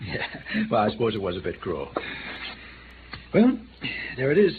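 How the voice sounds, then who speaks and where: An actor playing a frivolous, idle wealthy playboy with an airy, genteel, amused manner in a 1950s radio drama. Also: male voice, fairly low pitch, wildly swooping pitch, moderate pace. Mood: playful, hesitant. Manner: airy, hesitant, chuckling, genteel. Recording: muffled, faintly noisy vintage radio recording.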